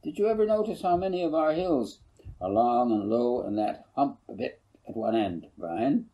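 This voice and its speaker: A man's voice: mid-range voice, expressive, moderate pace, dark tone, highs cut off, lightly acted. A man voicing a folksy, good-humored older farmer who rambles and corrects himself, in a storybook reading. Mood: musing, amiable. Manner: rambling, homespun, self-correcting. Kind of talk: audiobook character voice.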